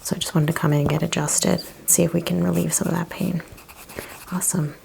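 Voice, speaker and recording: A woman speaking in a gentle, hushed, partly whispered ASMR roleplay style, asking questions as a chiropractor. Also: on the low side, flat delivery, fairly quick, vocal fry, background noise. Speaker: ASMR host playing a chiropractor. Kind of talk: soft-spoken ASMR roleplay.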